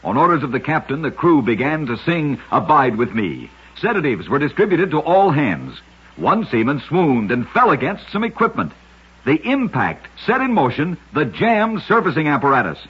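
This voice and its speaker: Husky radio storyteller, lively pitch. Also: male voice, moderate pace. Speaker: radio show narrator. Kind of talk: scripted radio storytelling narration of short oddities. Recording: muffled vintage-style radio recording.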